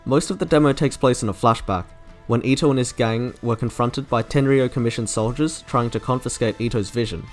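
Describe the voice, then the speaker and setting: Male video reviewer, scripted narration, mid-pitched voice, music underneath. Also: fairly quick. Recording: music behind the voice.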